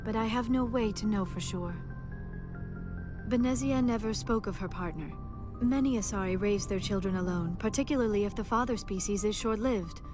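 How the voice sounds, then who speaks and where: A woman voices a gentle female alien scholar from a video game. She is earnest and reflective, choosing formal words with measured, precise diction at a medium pitch. Music plays clearly behind her. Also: close to an everyday voice. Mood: wistful, reflective. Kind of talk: video game dialogue acting.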